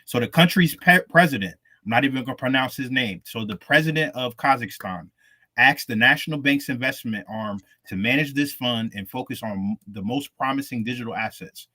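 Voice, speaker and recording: A man's voice: mid-range voice, a little fast, bright, forceful tone. A man co-hosting a casual crypto podcast, reading out news stories in a laid-back, slangy way. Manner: laid-back slangy delivery, calls listeners "fam". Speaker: co-host.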